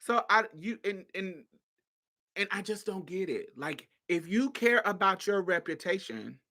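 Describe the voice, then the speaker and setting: A woman's voice: mid-range voice, expressive, measured pace, fairly bright tone. A woman hosting a podcast, giving a casual monologue in a sassy, blunt way. She swears freely and laughs often. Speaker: podcast host.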